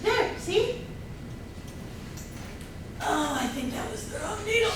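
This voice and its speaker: A scorned, scheming ex-wife played by a woman in a stage comedy, indignant and gloating. The voice is very high and lively, heard from a distance in a very echoey room. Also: takes it slowly, heavily acted. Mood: flustered. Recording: distant mic, echoey room, faint noise.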